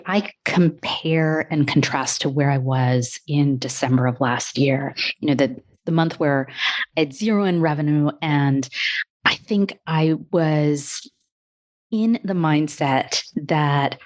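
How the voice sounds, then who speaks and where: A woman guest on a coaching podcast, reflecting on her work in measured, thoughtful phrases broken into short chunks. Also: deep voice, animated, darkish tone. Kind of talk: reflective interview conversation.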